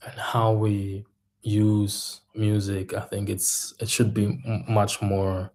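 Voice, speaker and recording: A man talks slowly and unhurriedly in a low, mellow voice. He speaks as a coach giving a reflective monologue and often pauses to find the right word. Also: level tone. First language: German. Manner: thoughtful, searching for words. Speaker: mindset coach and music artist.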